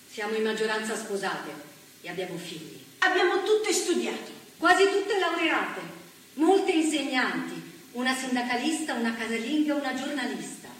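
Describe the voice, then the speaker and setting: A woman declaiming earnestly and deliberately, recorded from far off on a very echoey stage. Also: sits high, a bit bright, a little hiss or hum. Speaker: stage actress. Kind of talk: theatrical declamation.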